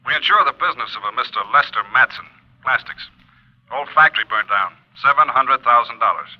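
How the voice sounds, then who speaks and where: Businesslike male insurance executive played by a radio actor, mid-pitched, terse delivery, muffled period broadcast. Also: fairly quick, close to an everyday voice. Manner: terse, matter-of-fact. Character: brisk, businesslike male insurance company man. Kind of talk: 1950s radio acting. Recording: dull lo-fi old radio recording.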